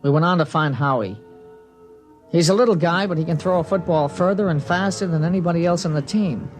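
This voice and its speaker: A man's voice: fairly high pitch, brisk, soft background music, close to an everyday voice. An actor playing an earnest, reflective teenage boy who narrates a 1950s radio drama.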